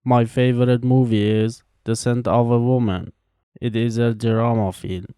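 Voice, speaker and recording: Careful, mellow man. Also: medium pitch, flat delivery, clean tone, no room sound, clean studio sound. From Iran. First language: Farsi. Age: 20s. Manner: slow, careful, evenly paced delivery with pauses between answers. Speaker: language teacher. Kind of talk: reading scripted practice answers.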